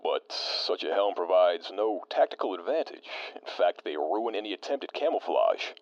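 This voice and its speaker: A man's voice: deep voice, very animated, moderate pace, gravelly, dry recording, highs cut off, exaggerated voice. A man playing a stiff, formal male co-host who talks like a tactician, with stilted diction, in a fan audio drama. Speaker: radio co-host.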